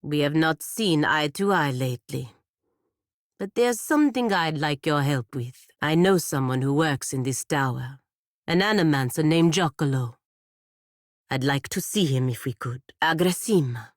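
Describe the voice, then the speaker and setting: An actress playing a proud, stern godlike warrior woman in a fantasy video game, speaking in formal, measured phrases. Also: low voice, expressive, no room sound, clean studio sound. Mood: reserved, earnest.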